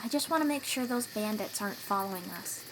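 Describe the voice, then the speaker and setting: A woman playing a young, protective sea-dweller warrior in an audio roleplay, encouraging and gently teasing as she talks straight to the listener. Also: medium pitch, fast, background noise, lightly acted. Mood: worried, quiet.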